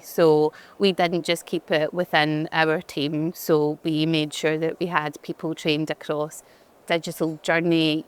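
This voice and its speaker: A woman's voice: deep voice, smooth. A woman with a Glaswegian accent, speaking in a chatty way with frequent restarts as a guest in an on-stage fireside chat. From Glasgow, Scotland. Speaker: head of digital experience, conference guest.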